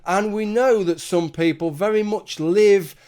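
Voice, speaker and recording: Male commentator, lightly raised pitch, talks through an argument with asides to the viewer. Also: unhurried, a little mellow, clear, resonant tone. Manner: argumentative, conversational, rhetorical asides. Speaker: commentary video host. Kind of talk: solo opinion monologue.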